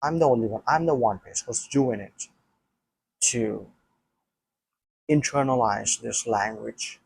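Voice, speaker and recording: A man's voice: mid-range voice, a little slow, creaky, slight background noise. A non-native English-speaking man talking alone to a camera about learning the language, thinking aloud with many restarts and repeated phrases. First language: not English. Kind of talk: casual solo talk to camera.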